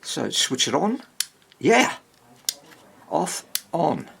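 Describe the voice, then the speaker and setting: A man's voice: a little high, sing-song, extremely slow, gravelly, a bit bright, slightly rough. A man giving casual, unscripted commentary at a workbench, rambling and thinking aloud as he works on a repair. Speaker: hobbyist radio restorer presenting own repair. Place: indoor workbench.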